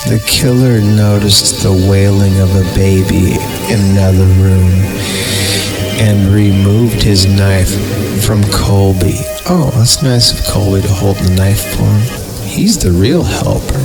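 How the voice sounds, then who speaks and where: A man's voice: lowish voice, slow pace. A man hosting a podcast, reading horror stories aloud in a mock-dramatic way and breaking off for wry asides. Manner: wry, savoring words, breaking off to comment. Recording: loud music under the voice. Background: music.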